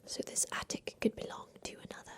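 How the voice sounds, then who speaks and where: A woman voicing a secretive, tender captive girl in a haunted attic, in a hushed, deliberate ASMR roleplay whisper that repeats phrases. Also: close to an everyday voice. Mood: wistful, secretive.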